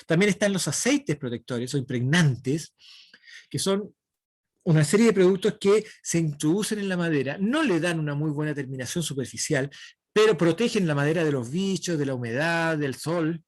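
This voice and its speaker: A man lectures a woodworking class. His voice sits a little high and sounds dry and close to the mic. He explains each step patiently and keeps checking that students follow. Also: expressive, moderate pace, no room sound. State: dry throat. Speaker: woodworking instructor. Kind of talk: online lecture.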